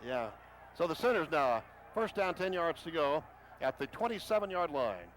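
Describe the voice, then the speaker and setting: A man calling a football game play by play for radio, rising in pitch and volume on big plays. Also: very animated, normal speed, a bit bright. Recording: noisy stadium broadcast.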